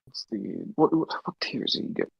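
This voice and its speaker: A man's voice: fairly quick, no room sound, highs cut off. A man from Wales playing a tabletop game, talking hesitantly with many restarts. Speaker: player. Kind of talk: tabletop game play over voice chat.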